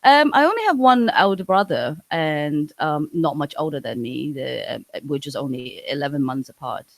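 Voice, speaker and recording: A woman's voice: low voice, expressive, normal speed, vocal fry, no echo. Hesitant Hong Kong woman, dry sound. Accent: Hong Kong English. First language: Cantonese. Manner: hesitant, many restarts and fillers. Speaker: interview guest. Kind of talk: casual interview chat.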